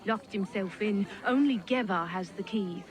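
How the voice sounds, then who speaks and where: A woman's voice: medium pitch, a little slow, natural voice. A woman playing a prim, fussy and dismissive townswoman in a video game. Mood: fussy disapproval. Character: prim, scolding townswoman, disdainful festival official. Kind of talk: video game character dialogue.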